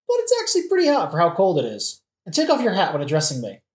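A woman's voice: fairly low pitch, huge pitch swings, quick pace, darkish tone. A woman playing a sarcastic, melodramatic young prince in a modern comedic audio play, with theatrical delivery. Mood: sardonic. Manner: theatrical, sardonic. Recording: roomy echo.

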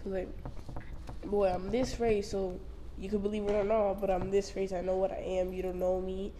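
Very young female podcast co-host, informal and giggly, medium pitch, noticeable background noise. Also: little pitch movement, moderate pace, dark tone, in a large hall. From Puerto Rico and northeastern US. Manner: giggly, uses "like" constantly. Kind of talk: casual group chat. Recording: very noisy room ambience.

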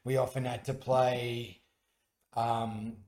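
An Australian man talks through his story as a radio guest, earnest and a little halting, often restarting his sentences. His voice sits at an ordinary pitch, and the studio adds a roomy echo around it. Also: level tone, a little mellow. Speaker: musician guest. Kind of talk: casual radio interview.

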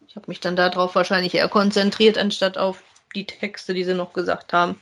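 A woman's voice: fairly low pitch, flat delivery, brisk, a little hiss or hum. A woman chatting casually as a podcast co-host, making brief, plain remarks. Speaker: podcast co-host reviewing audio dramas. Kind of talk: casual chat, reviewing audio plays.

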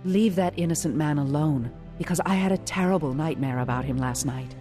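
A man's voice: fairly high pitch, brisk, pitched above the performer's own voice, close to an everyday voice. Brief: man doing a worried governor's wife, raised voice, urgent plea, strong background music. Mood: pleading. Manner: urgent, pleading. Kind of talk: dramatized scripture reading.

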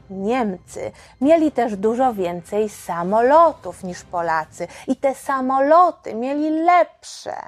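A woman narrating a history story for children in a conversational, explanatory way with dramatic emphasis. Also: fairly high pitch. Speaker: children's history podcast host.

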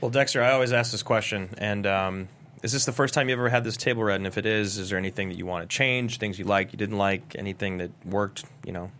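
A man's voice: lowish voice, fast, a bit bright. A man chatting casually as a panelist on an interview show. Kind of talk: casual chat.

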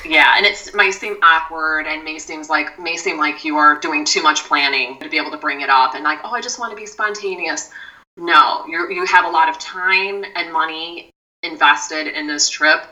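A woman speaking as a mindfulness expert on a podcast, giving measured advice with frequent "you know" asides. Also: low-pitched, sharp tone, far from the mic, rough recording. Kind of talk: podcast conversation.

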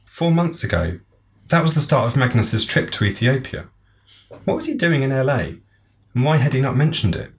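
A man's voice: medium pitch, big pitch swings, moderate pace, pitched above the performer's own voice, lightly acted. A man voicing a shrewd, flirtatious and hospitable woman boss in a story, with a familiar, coaxing manner. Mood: suspicious.

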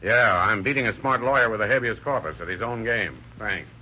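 A man's voice: fairly low pitch, background noise, close to an everyday voice. A man acting a shrewd, authoritative county sheriff in an old radio drama, with the dull sound of an old radio recording. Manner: measured, authoritative.

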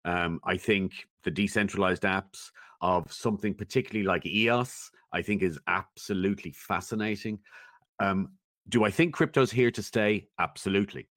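A man giving considered opinions as a guest in a conversational interview, thoughtful and measured, often pausing as he goes. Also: sits low, fairly bright tone, no echo, clean studio sound. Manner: thoughtful, measured, halting with pauses. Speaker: interview guest, technology commentator.

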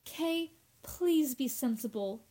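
A woman's voice: sits high, lively intonation, takes it slowly, dark tone. A woman playing an anxious, insistent young working woman in a radio horror drama, with an earnest, dramatic delivery. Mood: worried, pleading.

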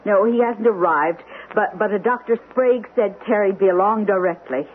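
An actress playing an anxious, well-mannered wife in a 1970s radio drama, speaking in flustered repetitions. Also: female voice, mid-range voice, normal speed, close to an everyday voice. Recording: noisy, tinny old radio broadcast recording.

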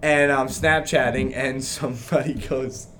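A man's voice: medium pitch, fairly even intonation, a little slow, fairly bright tone. A young man co-hosting a casual podcast, crude and rambling as he tells stories, often laughing. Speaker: podcast co-host. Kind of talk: casual chat. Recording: faint background noise.

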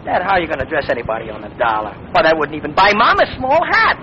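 Boyish young American son, fairly high voice, eager, 1940s radio play, muffled recording. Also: male voice, very animated, quick pace, a little hiss or hum. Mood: indignant. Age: young adult. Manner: eager, earnest. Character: earnest, boyish young son on first job. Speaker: radio drama actor.

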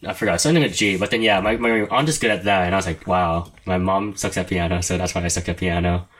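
A man's voice: low voice, quick pace. Young man chatting over noisy background. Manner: rambling, laughing often, many fillers. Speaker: friend in a group chat. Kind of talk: casual chat. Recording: noisy recording.

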